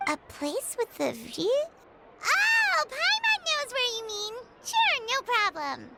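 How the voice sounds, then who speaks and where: Little female fairy, video game, squeaky, excitable, sky-high pitch, loud music. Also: slow pace, creaky, heavily acted. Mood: cheerful. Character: tiny, squeaky, excitable childlike fairy companion. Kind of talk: scripted video game voice acting. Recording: loud background music under the dialogue.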